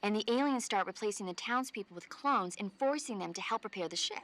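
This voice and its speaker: A woman's voice: medium pitch, expressive, fast talker, a bit bright, close to an everyday voice. An actress playing a chatty, earnest female support officer and film buff in a video game, explaining things conversationally.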